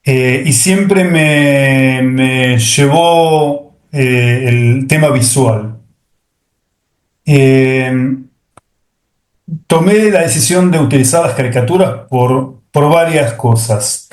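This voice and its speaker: Middle-aged man as interview guest; hesitant, mid pitch, recorded in a reverberant room. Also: very slow. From Argentina. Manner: hesitant, many fillers and restarts. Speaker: guest, software executive. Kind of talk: interview conversation.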